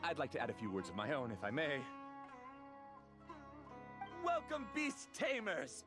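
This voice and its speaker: A nerdy, eager male inventor, wordy and polite, with a high voice. His pitch moves about animatedly, game-style, over plucked-string music. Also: wildly swooping pitch, measured pace. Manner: formal, wordy, eager to explain. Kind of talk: video game voice acting.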